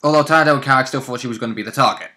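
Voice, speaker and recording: Sharp, bright man chatting about gaming. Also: mid-range voice, racing. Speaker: player and co-host. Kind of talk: casual chat about a tabletop game.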